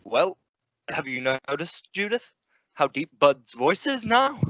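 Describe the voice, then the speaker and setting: A man's voice: a little high, very animated, slow, dull audio, noisy background. A man acting as an eager, flustered middle-aged father in an old-time radio play, hearty and repeating himself.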